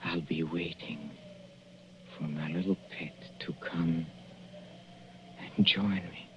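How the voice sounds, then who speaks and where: A sinister, possessive husband in an old radio thriller. His low voice moves very slowly, with sardonic courtesy and theatrical pauses. The recording is muffled and noisy. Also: steady tone. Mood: weakening, vengeful. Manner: sardonic, deliberate, halting, theatrical. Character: possessive, cruelly polite husband poisoning his wife, himself failing. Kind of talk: 1940s radio thriller acting.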